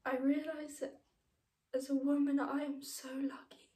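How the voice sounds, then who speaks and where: A woman playing a tender, grieving mother in a dramatic monologue, halting and hushed. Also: high voice, flat delivery, recorded from a distance. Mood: tender grief. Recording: roomy, echoing room sound.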